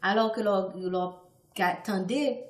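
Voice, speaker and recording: A woman from Haiti with a Haitian accent hosting a talk show interview, speaking haltingly and mixing languages. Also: mid-range voice, reverberant. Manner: halting, switches between English, French and Creole, many fillers.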